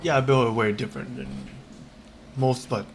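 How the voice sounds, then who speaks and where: A man chatting casually on a livestream while he plays a game, rambling in a halting, repetitive way. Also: medium pitch, fairly even intonation, creaky. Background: game music.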